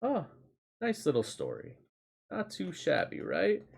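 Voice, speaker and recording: A man's voice: high voice, huge pitch swings, a little slow, creaky, darkish tone, slight background noise. A man reading a fairy tale aloud and chatting casually as a streamer, in a loose, rambling manner. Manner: casual, rambling, swears lightly. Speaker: storyteller and streamer.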